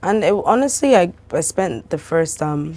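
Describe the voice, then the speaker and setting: Low-voiced young Nigerian woman, chatty. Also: moderate pace. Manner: relaxed, chatty, many fillers. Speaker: guest. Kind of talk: casual interview.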